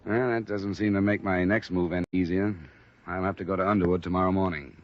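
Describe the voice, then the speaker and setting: A man's voice: low-pitched, little pitch movement, quick pace, close to an everyday voice. A man acting a tough but fair insurance investigator and narrator in a 1950s radio detective drama, terse and matter-of-fact with an American accent. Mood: subdued. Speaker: lead actor and narrator. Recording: dull restored old radio recording, music.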